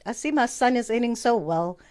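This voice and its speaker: Radio drama: bossy, scolding South African granny played by a woman, Cape Town accent, highish pitch, very animated delivery. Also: a little fast, lightly acted. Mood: haughty, guilt-tripping. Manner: scolding, nitpicking, imperious. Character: bossy, critical, sharp-tongued visiting grandmother. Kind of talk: amateur radio drama acting.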